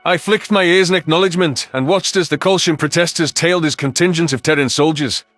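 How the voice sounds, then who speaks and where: Male audiobook narrator for sci-fi fiction, fairly high voice, music underneath. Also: a little fast, a bit bright, no echo. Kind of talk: scripted sci-fi story narration in first person.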